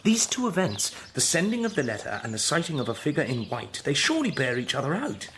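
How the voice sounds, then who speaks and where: A man playing an earnest, courteous young Victorian gentleman with an English accent, in a period radio drama. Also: a little high, wildly swooping pitch, quick pace, background noise. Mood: earnest, urgent. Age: young adult. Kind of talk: period drama acting with measured first-person narration.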